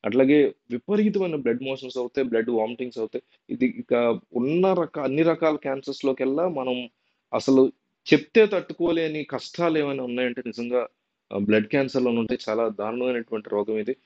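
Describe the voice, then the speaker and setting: A man's voice: mid-range voice, fast, darkish tone. A man presenting an informal unscripted explainer, repeating and rephrasing points and mixing in English terms. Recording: dull, lo-fi sound.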